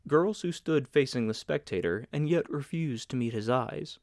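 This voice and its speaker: A man's voice: mid-range voice, expressive, normal speed, dry recording, clean studio sound. A man reading literary fiction aloud as an audiobook narrator, in scripted narration.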